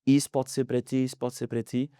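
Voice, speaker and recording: A man's voice: mid-range voice, flat delivery, quick pace, warm tone, clean tone, no echo, studio quality. A man in his thirties from France with a French accent, a guest on a podcast interview, talking in a hesitant way with many fillers. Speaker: mortgage broker guest.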